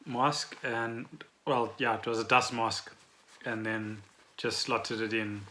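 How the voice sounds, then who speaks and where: Bright-voiced man chatting. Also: medium pitch, little pitch movement, takes it slowly. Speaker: podcast co-host. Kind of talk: casual conversational podcast chat.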